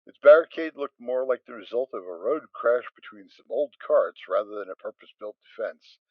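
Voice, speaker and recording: A man narrating a story in an expressive reading style. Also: medium pitch, a little fast, no echo. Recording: dry, dull sound.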